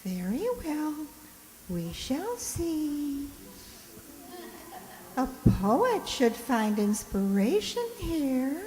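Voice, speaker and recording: A grand, snobbish old matriarch in a radio play, high-voiced and swooping widely from note to note. She draws her words out slowly and haughtily, in a long hall reverb. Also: big pitch swings, a little mellow, full character voice. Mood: haughty, appraising. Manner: haughty, drawn-out, theatrical delivery. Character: imperious, snobbish, genteel old lady, matriarch of eighty. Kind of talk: radio drama acting.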